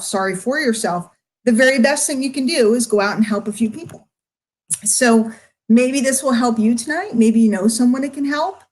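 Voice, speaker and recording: Middle-aged female online marketer, slightly high-pitched, emotional, unscripted monologue to followers, confiding yet emphatic delivery. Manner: confiding, emphatic, with drawn-out words and pauses. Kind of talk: candid livestream monologue to followers.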